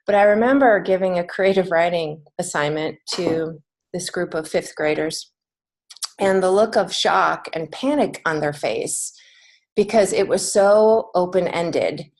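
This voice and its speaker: A woman's voice: lowish voice, measured pace, a little mellow. A middle-aged American woman speaking as an interview guest, telling stories conversationally in an earnest, emphatic way. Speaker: podcast guest, children's author and teacher.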